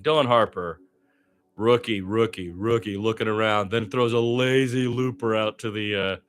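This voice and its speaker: A man's voice: on the low side, steady tone, normal speed, soft background music. A man doing live radio basketball play-by-play in a conversational, opinionated, exclamatory way.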